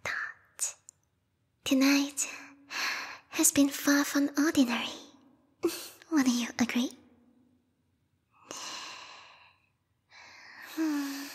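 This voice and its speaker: A woman voicing a bloodthirsty, refined bat girl royal guard in a whispered ASMR roleplay, prim and courtly with quiet menace. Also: sits high, long reverb tail. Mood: teasing, menacing. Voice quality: breathy, whispery. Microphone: close binaural microphone.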